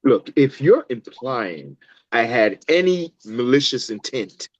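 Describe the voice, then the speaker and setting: A man playing an evasive, defensive doctor with a measured manner in a table read. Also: mid-range voice, big pitch swings, no room sound, muffled recording.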